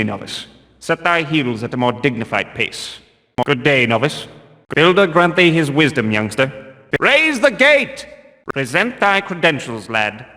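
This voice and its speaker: Video game zealot guard, stern and pious, archaic phrasing; bright, forceful tone on the higher side. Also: male voice, heavily acted. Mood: pious, formal. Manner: archaic, solemn, pious speech. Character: zealous male temple guard of a stern religious order. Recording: long cavernous reverb.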